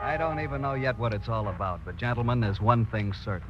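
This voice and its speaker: An actor playing an earnest, aggrieved American oil prospector in a 1940s radio drama. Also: male voice, mid-range voice, fast, loud music behind the voice, natural voice. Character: earnest, aggrieved American oilman protesting his innocence. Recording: muffled, noisy vintage radio recording.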